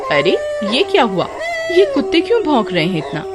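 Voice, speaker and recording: Woman as young girl, storybook horror tale, excitable, questioning, lively raised pitch. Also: brisk, over loud music. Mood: puzzled, alarmed. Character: excitable young village girl, curious and easily alarmed.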